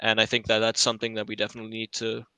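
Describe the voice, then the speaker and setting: A man appearing as a podcast guest, chatting casually and thoughtfully with hesitant pauses and "you know". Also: lowish voice, completely flat delivery, pushed and bright, clean tone, no echo. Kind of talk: casual, thoughtful panel chat.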